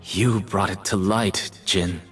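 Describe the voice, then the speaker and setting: An actor playing a somber, haunted artist mage in a video game, speaking in measured, poetic lines. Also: male voice, low voice, steady tone, soft background music. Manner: slow, measured, poetic delivery.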